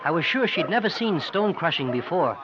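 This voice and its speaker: An actor playing an earnest, worried young nephew, plain-spoken, narrating and talking in a 1950s radio drama. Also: male voice, fairly high pitch, sing-song, fairly quick, background noise. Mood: worried narration. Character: earnest, worried boy nephew narrating his uncle's feats. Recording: muffled vintage radio recording with music.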